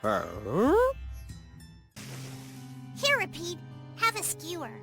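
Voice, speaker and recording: A woman's voice: very high-pitched, wildly swooping pitch, moderate pace, sharp tone, full character voice. A woman voicing a spirited, cocky young pirate girl in a video game. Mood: playful. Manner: pirate-style exclamations, bold. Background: background music.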